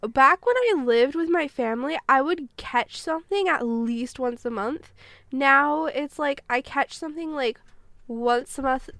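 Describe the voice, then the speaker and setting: A woman's voice: sits high, a little slow, vocal fry, a bit bright, dry recording. A woman co-hosting a podcast in casual chat, sounding sniffly and congested from a cold. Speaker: podcast co-host.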